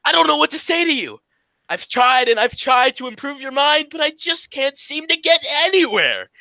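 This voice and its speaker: A man's voice: extremely high pitch, big pitch swings, normal speed, bright, forceful tone, no echo, exaggerated voice, low-quality recording. A man playing the exasperated, scolding straight man of an old radio comedy duo, in a 1940s radio acting style. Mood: despairing. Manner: scolding, insistent.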